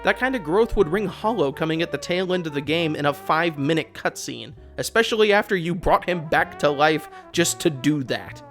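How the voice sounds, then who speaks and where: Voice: mid-range voice, animated, normal speed, hardly any pauses, fairly bright tone, faint music behind. A video essayist giving sarcastic, exasperated, opinionated commentary on a video game. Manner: sarcastic, exasperated, swearing rant. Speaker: video essayist, channel host.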